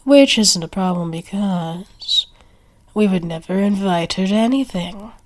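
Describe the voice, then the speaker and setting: A smug werewolf woman, played by a female performer in an audio roleplay. She talks very slowly at a medium pitch, teasing and smooth, flirty and protective with a taunting edge. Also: natural voice. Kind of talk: ASMR roleplay acting.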